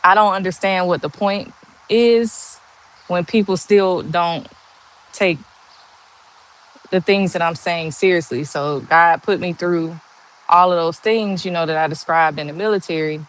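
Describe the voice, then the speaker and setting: A woman in her forties with a monotone voice, chatting slowly and candidly on a livestream and answering viewers' comments. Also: on the low side, rough recording. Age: 40s. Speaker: livestream host. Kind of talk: casual live chat answering viewer comments.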